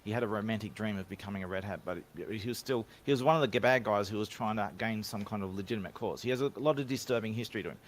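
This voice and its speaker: A man's voice: fairly low pitch, rapid-fire, hardly any pauses, slight background noise. A man with an Australian accent, talking casually as a guest on a remote call, rambling and self-assured with frequent restarts. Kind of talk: casual podcast storytelling. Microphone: home microphone, remote call.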